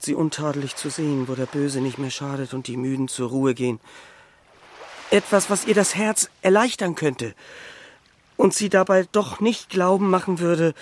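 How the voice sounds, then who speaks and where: Earnest, melancholy man, fairly high voice, acted. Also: a little hiss or hum, natural voice. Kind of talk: German radio play acting.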